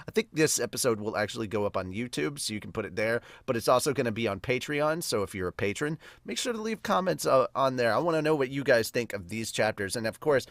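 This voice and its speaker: A man's voice: medium pitch, fairly bright tone, no echo, clean studio sound. A man giving a casual, rambling, enthusiastic review as a podcast host. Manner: rambling, enthusiastic fan talk. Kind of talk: casual live reaction and review.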